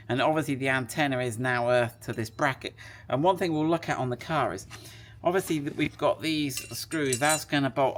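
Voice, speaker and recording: A man's voice: medium pitch, fairly bright tone. A man giving a casual hands-on product demonstration, chatting and thinking aloud as he works. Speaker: product presenter. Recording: clear background noise.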